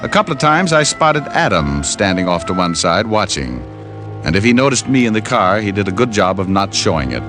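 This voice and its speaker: American man, streetwise private detective, old-time radio drama, lively swooping intonation, music on a vintage recording. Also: fairly low pitch, brisk. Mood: matter-of-fact narrating. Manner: slangy, wry, clipped. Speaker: lead actor and narrator of a detective series. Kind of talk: old-time radio detective acting, hard-boiled narration.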